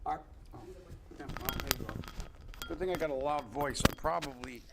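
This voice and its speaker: A man's voice: on the high side, brisk, creaky, noisy background. A man co-hosting a local political commentary show, talking in a hurry with indignant sarcasm. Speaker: co-host.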